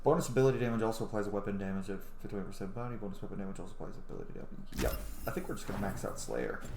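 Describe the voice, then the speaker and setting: A man's voice: on the low side, expressive, very fast, noisy background. A man in his thirties giving blunt, sweary, casual commentary on a video game he is playing. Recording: roomy sound under loud game music. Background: loud game music.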